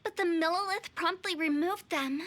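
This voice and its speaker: A woman's voice: extremely high pitch, normal speed, fairly bright tone. A woman playing a perky, cheeky young funeral parlor director in an anime game, with theatrical sales patter. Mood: proud, persuasive. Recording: game audio with music behind.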